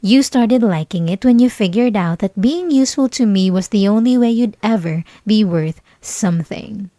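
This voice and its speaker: A young woman playing a mocking, possessive bully in an intimate ASMR roleplay, condescending and teasing, with breathy asides. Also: medium pitch, normal speed, warm tone, no room sound, studio quality, close to an everyday voice. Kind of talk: ASMR roleplay, intimate scripted monologue to listener.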